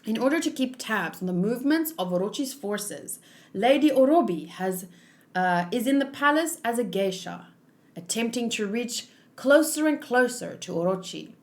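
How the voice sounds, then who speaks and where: A woman's voice: on the high side, animated, moderate pace. A woman voicing an earnest samurai man in a manga, with formal, old-fashioned phrasing.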